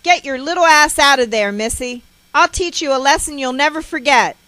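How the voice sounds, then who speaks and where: A woman storyteller voicing an enraged, abusive mother, harsh and shouting, who scolds and threatens. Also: high-pitched, moderate pace, dry recording, pitched above the performer's own voice. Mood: furious.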